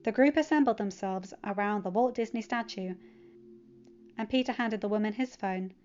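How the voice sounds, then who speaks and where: A woman's voice: mid-range voice, moderate pace, a little mellow. Woman narrating a story, slightly muffled. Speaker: fan fiction audiobook narrator.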